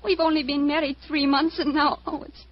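A woman's voice: sits high, flat delivery, a little fast, natural voice. An actress playing a distraught, anxious woman pleading for help in an old-time radio drama. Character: distraught woman pleading for missing loved one. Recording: dull old radio recording.

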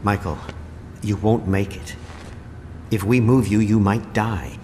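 An actor playing a serious, learned male doctor in a video game, cautious and measured in how he talks. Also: lowish voice, warm tone, a little hiss or hum. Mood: urgent. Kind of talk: video game cutscene acting.

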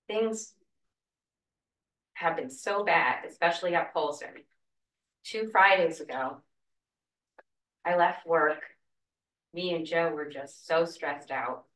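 A woman's voice: deep voice, slow pace, long pauses, a bit bright, distant mic. A woman, a school cafeteria worker, speaking earnestly at public comment during a school board meeting from a wooden podium. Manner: earnest, emotional plea. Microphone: podium mic, heard from a far camera. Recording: echoey room, voice heard from afar.

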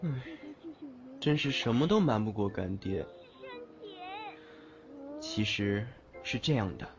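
Man acting a caring, animated young man. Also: on the low side, slow pace, creaky, exaggerated voice. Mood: pleading, evasive. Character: caring, playful young man devoted to ghost. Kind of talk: Chinese audio drama acting. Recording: dull lo-fi audio with music.

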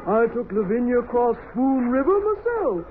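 A folksy lady ferry captain in an old children's radio play. She is gossipy and wisecracking, with animated pitch. The recording is scratchy and narrow. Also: moderate pace. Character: jaunty, gossipy female lazy susan ferry captain. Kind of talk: 1940s children's radio acting.

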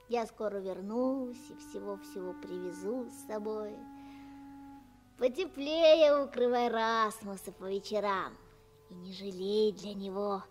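Little orphan boy, wistful and eager, slow talker, music behind, old radio drama. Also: medium pitch. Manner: wistful, childlike. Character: dreamy nine-year-old orphan boy, eager and trusting. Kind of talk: 1960s radio play acting.

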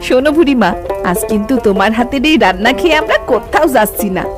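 A woman's voice: fairly high pitch, animated, fast talker, music in the background, natural voice. A woman voicing a curious, admiring villager in a cartoon, exclaiming in a folksy way. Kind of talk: Bengali cartoon voice acting.

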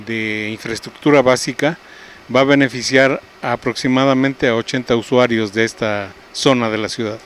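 A man's voice: mid-range voice, a bit bright. Formal Mexican man, outdoor interview. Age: middle-aged. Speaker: municipal public lighting official. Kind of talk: interview statement. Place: outdoors by a roadside. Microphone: handheld reporter microphone. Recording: noisy outdoor field interview.

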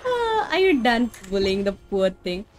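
A woman with an Indian accent chats with her livestream audience in a high, very animated voice. She is playful and giggly and likes to repeat short phrases. Game music plays behind her. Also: a little slow. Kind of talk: casual livestream chat while gaming.

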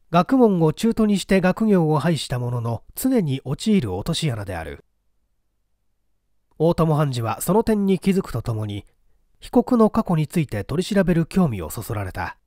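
Male audiobook narrator: mellow, slightly high voice, formal and measured delivery of mystery fiction. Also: no room sound, clean studio sound. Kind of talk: dramatic literary narration of a mystery story.

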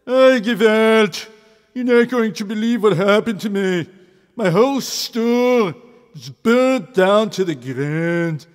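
A man's voice: high voice, animated, slow, in a large hall, pitched above the performer's own voice. A man voicing a distraught middle-aged hat-store owner who wails and laments with Yiddish cries in a children's story.

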